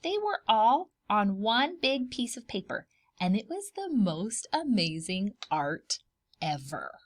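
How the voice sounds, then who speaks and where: A woman reading a picture book aloud for preschoolers, expressive and dramatic, pausing to put questions to the children. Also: mid-range voice. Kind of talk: animated children's storybook read-aloud with asides.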